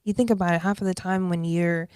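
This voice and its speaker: A woman in her twenties, a podcast guest, talking in a reflective, animated way with many fillers. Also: lowish voice, fast talker, smooth, no echo, studio quality. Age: 20s. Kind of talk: casual interview chat.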